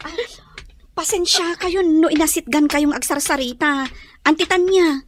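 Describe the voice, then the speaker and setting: Very high-voiced earnest young friend woman acting. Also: measured pace. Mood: apologetic. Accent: Ilocano. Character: earnest, polite young female friend bearing news. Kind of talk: Ilocano radio-style melodrama acting.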